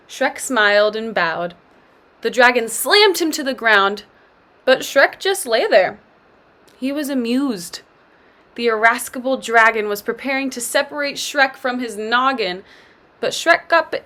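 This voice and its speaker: A woman teacher with a fairly high voice reads a children's storybook aloud. Her delivery is that of classroom narration, addressed to pupils. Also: fairly bright tone.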